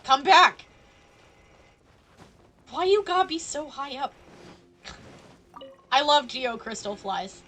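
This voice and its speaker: A woman's voice: high voice, animated, slow, long pauses, pushed and bright. A woman giving casual live gameplay commentary as a streamer, playful and rambling. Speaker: game streamer. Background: faint game music.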